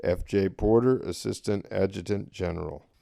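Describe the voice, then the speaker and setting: A man voicing a stern staff officer who reads out a military directive in a formal, deliberate way. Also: low-pitched, creaky, mellow, no room sound, clean studio sound, pitched above the performer's own voice. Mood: stern, official. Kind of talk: reading a 19th-century military order. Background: plucked guitar music.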